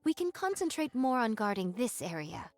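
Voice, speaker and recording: A woman's voice: on the high side, big pitch swings, normal speed, darkish tone, dry recording, faint music behind. A woman voicing a solemn warrior who speaks in formal, old-fashioned phrases, in anime game style. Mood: composed. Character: solemn archaic-speaking android swordswoman turned would-be farmer.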